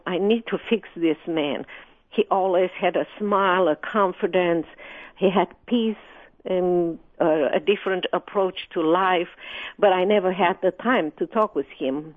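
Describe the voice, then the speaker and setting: A woman from Romania, a guest author telling her life story in an interview, speaking hesitantly with many ums. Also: fairly low pitch. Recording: tinny phone line.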